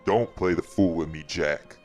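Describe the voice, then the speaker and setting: Man playing very low-voiced vampire boss, gruff, stern, hot-tempered, audio drama, loud music underneath. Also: normal speed, close to an everyday voice. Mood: menacing anger. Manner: gruff, profane, commanding. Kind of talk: audio roleplay drama acting.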